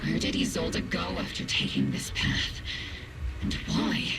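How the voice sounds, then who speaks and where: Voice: a little slow, noisy background, lightly acted. An actor playing a stern, urgent military squad commander in a video game cutscene. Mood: grave resolve. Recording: game audio under loud cinematic music.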